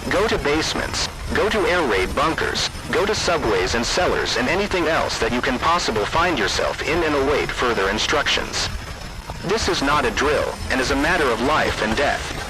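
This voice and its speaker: A man reading scripted emergency alert announcements in a formal, deliberate manner. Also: mid-range voice, sing-song, poor audio. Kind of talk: scripted emergency broadcast narration.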